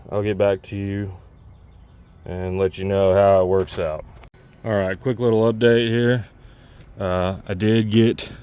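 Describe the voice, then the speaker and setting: A man's voice: on the low side, mellow, clean tone, highs cut off. A man giving a casual, unscripted DIY walkthrough, talking plainly and pointing things out as he works. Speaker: DIY vlogger demonstrating a truck CB radio install.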